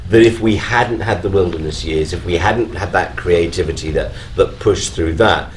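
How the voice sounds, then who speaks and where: Man, low voice, earnest and emphatic panel guest, noisy live event recording. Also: moderate pace. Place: on stage at a fan convention.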